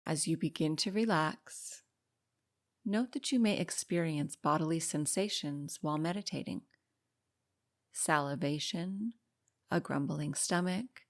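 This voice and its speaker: A woman leading a guided meditation in a calm, soothing, unhurried way, pausing between short instructions. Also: deep voice. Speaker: meditation guide and podcast host.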